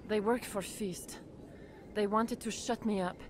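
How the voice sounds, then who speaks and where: A woman's voice: medium pitch, steady tone, a little slow, a little hiss or hum, lightly acted. An actress voicing a shaken, earnest female doctor in a video game. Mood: shaken, relieved.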